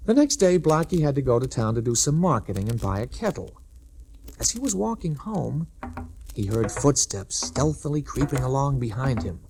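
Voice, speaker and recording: A male narrator tells a children's tale with lively, expressive pitch at a medium register. It has the sound of a 1960s story record, with clear noise behind him. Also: moderate pace.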